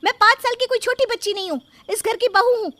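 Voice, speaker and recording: A woman's voice: extremely high pitch, quick pace, fairly bright tone, no room sound, voice pushed up. A woman voicing a rude, impatient young sister-in-law in a moral story, scolding her elder. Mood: exasperated. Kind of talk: Hindi moral story character dialogue.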